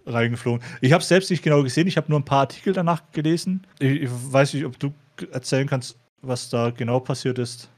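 A man co-hosting a casual talk, speaking haltingly and often repeating words as he searches for phrasing. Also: medium pitch. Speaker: podcast co-host. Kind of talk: casual chat.

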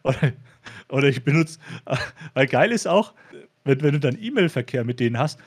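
A man in a relaxed, informal conversation as a podcast co-host, with a mid-pitched voice. He starts phrases over and repeats small words while he looks for the right one. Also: moderate pace, breathy edge. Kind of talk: casual chat.

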